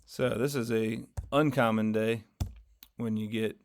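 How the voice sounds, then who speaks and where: Low gravelly guy going over his fund trades, kinda monotone, getting hoarse, lots of uh and um. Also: a little slow. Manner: conversational, rambling, many fillers and self-corrections. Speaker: finance channel host. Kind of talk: casual screen-share market recap.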